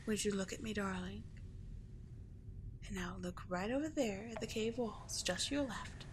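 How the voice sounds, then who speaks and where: A woman voicing a seductive, doting, manipulative sea witch in an ASMR roleplay, breathy and coaxing, lingering on her words. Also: mid-range voice, moderate pace, natural voice. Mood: doting, teasing. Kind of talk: ASMR roleplay, slow hypnotic sleep-aid delivery. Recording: clearly noisy, with ambient sound.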